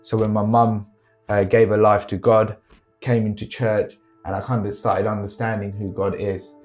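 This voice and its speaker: Low-voiced man telling his story. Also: flat delivery, darkish tone, soft background music. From Sri Lanka. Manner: earnest, pausing with fillers. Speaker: father in a discussion. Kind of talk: reflective personal story.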